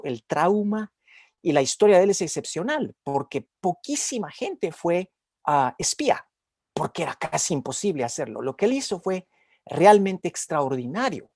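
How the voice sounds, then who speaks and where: A man's voice: fairly high pitch, darkish tone, dry recording, clean studio sound. An older man interviewing conversationally, giving long emphatic commentary and repeating phrases. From Guatemala. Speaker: interviewer, academic.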